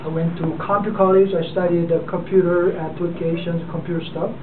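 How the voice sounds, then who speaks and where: A man with a Korean accent speaks haltingly, with frequent fillers and restarts, as he gives a speech to a club. He sounds far from the microphone in an echoey room. The sound is narrow and tinny, like a phone line, with clear noise underneath. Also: a little high, level tone. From South Korea. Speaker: club member giving a speech. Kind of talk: unprepared speech. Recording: distant, echoey, phone-like and noisy.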